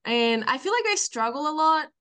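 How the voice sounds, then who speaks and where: A woman's voice: high-pitched, lively intonation, moderate pace, sharp tone. A young woman from Colombia with a Colombian Spanish accent, Spanish being her first language, speaking as an interview guest in a hesitant way with lots of "like" fillers. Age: young adult. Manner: hesitant, many "like" fillers, laughs often. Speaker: college diver, guest. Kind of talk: casual interview chat.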